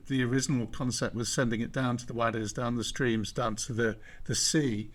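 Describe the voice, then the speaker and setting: A man hosting a podcast interview, asking questions in a relaxed conversational way. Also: medium pitch. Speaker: podcast host.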